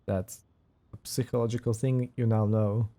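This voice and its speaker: A man giving casual let's play commentary as a gaming streamer, with relaxed, wry asides. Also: fairly low pitch, normal speed. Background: game music.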